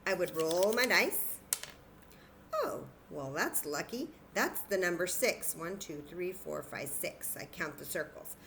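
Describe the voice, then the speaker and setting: A woman's voice: fairly low pitch, expressive, measured pace, gravelly, fairly bright tone, a little hiss or hum, poor audio. A woman teaching young children in an interactive demonstration, patient and encouraging, thinking aloud as she goes. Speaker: children's story time teacher.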